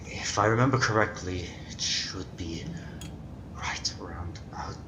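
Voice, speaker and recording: An actor playing an arrogant, sneering ancient criminal in a theatrical 1940s-style radio drama. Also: male voice, low-pitched, unhurried, in a whisper. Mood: scheming. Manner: theatrical, contemptuous. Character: arrogant, sneering ancient criminal, ten thousand years old. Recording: echoey, noisy amateur home recording.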